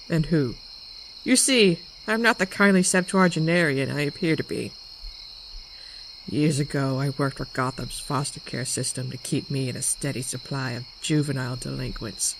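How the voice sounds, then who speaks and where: A woman's voice: rumbling low, noisy background. A woman acting a tough, scornful woman in her seventies, a domineering crime matriarch with sardonic, theatrical delivery in a motion comic.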